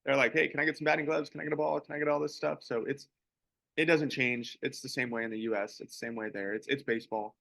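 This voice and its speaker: A young man who plays pro baseball, co-hosting a podcast and chatting in a relaxed, rambling way. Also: mid-range voice, fast talker, creaky, no echo. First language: English. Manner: relaxed, rambling, many restarts. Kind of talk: casual podcast chat.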